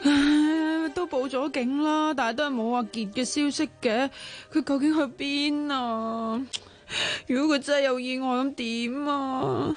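A woman's voice: high voice, fairly even intonation, a little slow, faint music behind. A woman acting a worried, wary young woman in a Hong Kong Cantonese radio drama. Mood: worried, self-blaming.

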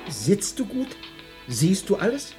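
Flustered, stammering, animated father. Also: sits high, slow pace, over music, exaggerated voice. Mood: fussy. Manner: hesitant, stammering, fussing. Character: flustered, helpless, fussy family father. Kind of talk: German radio play, dark comedy acting.

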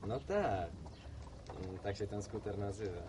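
A man's voice: on the low side, expressive, a little slow, noisy background. A man playing a self-assured, talkative young townsman in a radio drama, explaining things earnestly with a touch of boasting. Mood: confident. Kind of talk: Polish radio serial acting.

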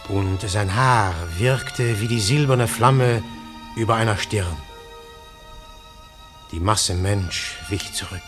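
An earnest male narrator in a literary radio play. His voice sits fairly low and he tells his strange tale in measured, poetic phrases. Soft music plays behind him. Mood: awed. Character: male narrator recounting a strange, visionary night.

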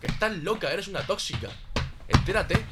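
A man's voice: high voice, lively intonation, normal speed, very noisy. A man with a Spain accent acting a harried, fed-up cheating boyfriend in a naturalistic audio drama, blunt, sweary and repetitive. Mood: angry, defensive. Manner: agitated, repetitive, colloquial and blunt.

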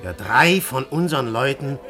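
An actor playing an excitable young male poet and detective in a German radio play, dropping to a hush and then bursting out loud. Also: mid-range voice, moderate pace, soft background music. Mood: earnest. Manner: swings from hushed intensity to loud outbursts. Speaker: poet turned police detective.

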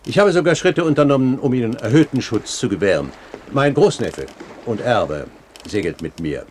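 An actor playing a courteous, assured older pirate captain, speaking formally and eloquently in a 1970s German radio play. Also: male voice, medium pitch, big pitch swings, moderate pace, darkish tone, slight background noise, lightly acted. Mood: courtly, emphatic.

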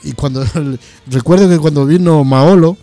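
A man chatting casually as a programme host, haltingly and often repeating words. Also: fairly high pitch, dark tone, soft background music. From Ecuador. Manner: hesitant, repeats words as they go. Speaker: host of a heavy metal radio programme. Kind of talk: casual interview chat.

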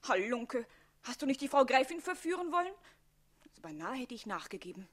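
A woman's voice: high-pitched, very animated, brisk. A woman playing a witty, teasing young wife in a German radio comedy, with playful, pert and theatrical delivery.

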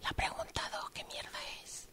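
A woman's voice: normal speed, pushed and bright, close to an everyday voice. A woman acting a furtive, foul-mouthed student cheating in an exam in an amateur radio drama, whispering insistently. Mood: furtive, irritated.

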